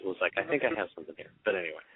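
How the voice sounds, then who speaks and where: A man being interviewed on the radio, telling a story with many restarts and an animated manner. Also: low voice, racing, slightly rough, no echo. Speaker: guest pianist. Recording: tinny phone line, dry.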